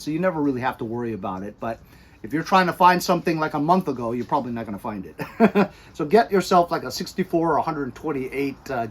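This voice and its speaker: A middle-aged American man giving a casual, chatty product review with animated emphasis, recorded by a camera a few feet away in a garage. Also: medium pitch. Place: cluttered garage or workshop.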